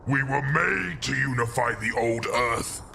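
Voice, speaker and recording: A man's voice: medium pitch, measured pace, gravelly, bright, forceful tone, a little hiss or hum, pitched above the performer's own voice, full character voice. A man voicing a dying, agitated warrior captain with a growling voice in a dramatic sci-fi audio story. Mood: agitated, defiant.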